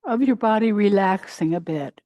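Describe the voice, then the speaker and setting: A woman teaching a guided meditation in a gentle, unhurried way, pausing between short phrases. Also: medium pitch, lively intonation, no echo. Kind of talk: guided meditation, body scan.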